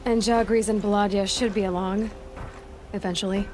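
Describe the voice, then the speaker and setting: An actress playing a capable, caring young huntress in a video game, with a dry, matter-of-fact manner. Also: female voice, medium pitch, fairly even intonation, moderate pace, darkish tone, slight background noise, close to an everyday voice. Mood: dry, helpful. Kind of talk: video game dialogue acting.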